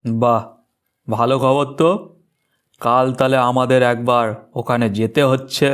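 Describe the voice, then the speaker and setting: Man acting a smooth-voiced bullying bird gang chief. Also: medium pitch, flat delivery, measured pace, lightly acted. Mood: pleased. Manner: threatening, bossy, commanding. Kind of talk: Bengali children's cartoon voice acting.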